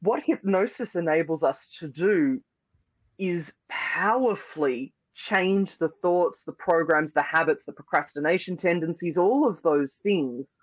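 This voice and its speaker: A man's voice: fairly high pitch, a little slow, no echo. Earnest man explaining hypnosis, dull audio. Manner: earnest, repeats "beautiful" and "powerfully", flowing explanations. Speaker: hypnosis and NLP practitioner, guest. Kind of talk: interview answers, explaining.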